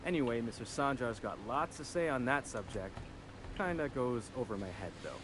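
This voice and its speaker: A man's voice: mid-range voice, expressive, normal speed, lightly acted. An actor playing an eager, folksy young male town greeter who chats freely and is keen to please. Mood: friendly. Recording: game audio with background ambience noise.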